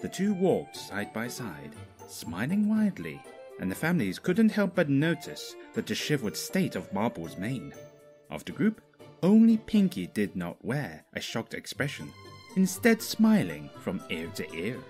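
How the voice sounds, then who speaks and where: A man's voice: medium pitch, wildly swooping pitch, unhurried, a little mellow, soft background music. A man reading a story aloud as a scripted narrator. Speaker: fanfiction narrator.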